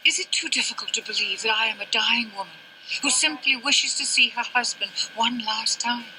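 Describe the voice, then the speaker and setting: A woman playing a sharp-tongued, weary, dying older mother in a video game, with haughty, theatrical, genteel phrasing. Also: on the high side, normal speed, a little hiss or hum, rough recording. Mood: indignant. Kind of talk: dramatic scripted game dialogue.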